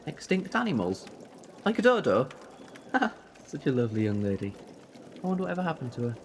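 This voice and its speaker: Audio drama: male actor as eccentric time traveller, whimsical, theatrical, lively mid-range delivery, slight background noise. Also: mid-range voice, fairly quick. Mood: playful, flustered. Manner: rambling, playful, theatrical. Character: eccentric, whimsical, defiant time-travelling alien Doctor who looks young.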